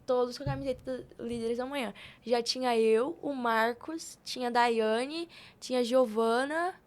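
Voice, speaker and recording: High-voiced young Brazilian woman. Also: normal speed. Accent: Brazilian Portuguese. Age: young adult. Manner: animated, informal, acts out quoted speech. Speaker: podcast guest, youth institute member. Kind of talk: casual storytelling on a podcast.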